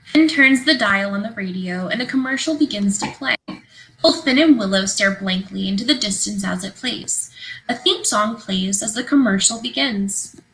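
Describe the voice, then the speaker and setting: A woman's voice: medium pitch, normal speed, a bit bright. A woman reading stage directions as scripted narration for a play. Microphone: video call. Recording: noisy livestream call audio.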